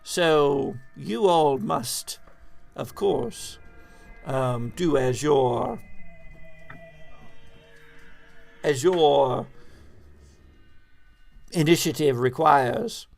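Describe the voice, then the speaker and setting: Man putting on a slow, creaky, theatrical spiritualist. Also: fairly high pitch, animated, a little mellow, soft background music, full character voice. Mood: humble, hesitant. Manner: halting, ornate, theatrical phrasing. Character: eccentric, theatrical male spiritualist, halting and humbly philosophical. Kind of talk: in-character tabletop roleplay.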